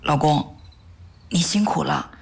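An actress playing a caring, soft-hearted wife devoted to charity in a sentimental audio drama, speaking earnestly in a slightly husky voice. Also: female voice, deep voice, takes it slowly, exaggerated voice. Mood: earnest, grateful.

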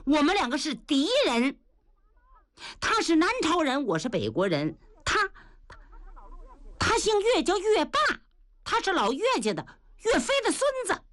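A high, bright-voiced young swordswoman, put on by a woman storyteller, sharp-tongued and quick to scold. Her lines leap up and down in pitch with hot-tempered force. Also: huge pitch swings, heavily acted. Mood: flustered. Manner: scolding, rapid and indignant.

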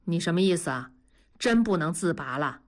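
A coarse, blunt middle-aged man scolds and teases at full volume, voiced by a woman. His pitch jumps around restlessly. He talks fast and pushy, a rough audiobook character. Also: animated, close to an everyday voice. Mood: warning.

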